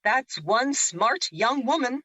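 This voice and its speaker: A woman's voice: a little high, expressive, slow pace, bright, forceful tone, husky, no room sound, full character voice. A woman playing a playful, unafraid female spirit in an audio drama, wry and needling in manner. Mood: playful, teasing.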